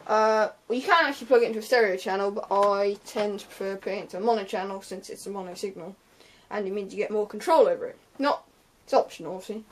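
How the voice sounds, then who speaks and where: A woman's voice: medium pitch, fast talker, few pauses, poor audio. A young woman gives an informal, unscripted hands-on tutorial in a hesitant, offhand way, trailing off into asides. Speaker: sound tech tutorial presenter.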